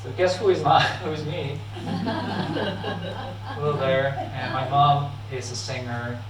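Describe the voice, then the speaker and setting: A man from Hong Kong with a Hong Kong accent, giving a friendly, halting talk with slides, full of fillers and restarts, with frequent laughs. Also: mid-range voice, steady tone, background noise. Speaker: guest speaker. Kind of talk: informal lecture with slides. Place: church hall. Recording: echoey hall, mic at a distance.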